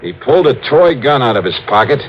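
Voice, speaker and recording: A man's voice: medium pitch, big pitch swings, brisk, natural voice. An actor playing a tough, self-assured investigator in a 1950s radio drama, pressing his points in a clipped way. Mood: cool, accusing. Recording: dull vintage radio recording.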